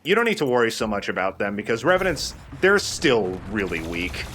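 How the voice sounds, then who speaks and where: A man's voice: lowish voice, sing-song, a little fast, bright, forceful tone, slight background noise. A man giving casual, opinionated commentary over a video game, explaining how it works as he goes. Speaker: host of a video game let's play.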